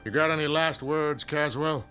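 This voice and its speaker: An actor playing a stern, weary older frontier judge in a radio drama, solemn and deliberate, with an American accent. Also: male voice, a little high, pushed and bright, faint music behind.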